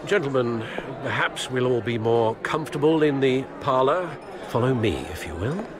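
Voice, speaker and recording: An actor playing a courteous, discreet elderly butler in a video game, speaking formally and deferentially. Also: male voice, mid-range voice, animated, moderate pace, a crowd in the background, close to an everyday voice. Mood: polite, discreet.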